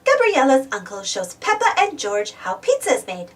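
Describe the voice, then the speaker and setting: Very high, animated woman storyteller. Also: normal speed, distant mic. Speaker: storybook reader, channel host. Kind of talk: children's read-aloud narration.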